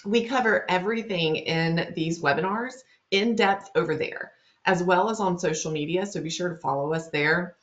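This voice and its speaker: A woman in her thirties with a Southern US accent, hosting a marketing webinar in a friendly, chatty way. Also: lowish voice. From Louisiana, US. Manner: friendly, chatty, talks fast. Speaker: webinar host. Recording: dull lo-fi web-call audio.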